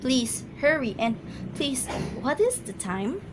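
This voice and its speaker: A woman's voice: high voice, very animated, takes it slowly, background noise, heavily acted. A woman acting a nervous, demanding invalid wife in an amateur radio drama, complaining imperiously and emotionally. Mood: anxious, pleading.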